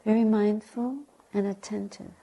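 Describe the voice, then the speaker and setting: A woman teaching guided meditation in a soft, breathy, gentle way, speaking in short deliberate phrases with long pauses. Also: mid-range voice, flat delivery, low-quality recording. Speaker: Buddhist nun, meditation teacher.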